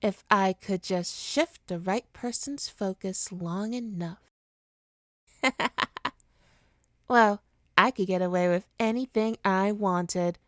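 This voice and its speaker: A woman's voice: mid-range voice, slow pace, pauses often, no echo, studio quality, lightly acted. A woman playing a smug, teasing supervillain with hypnotic powers in an ASMR roleplay, taunting and theatrical in a condescending way. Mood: gloating, taunting. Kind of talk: ASMR roleplay monologue to listener.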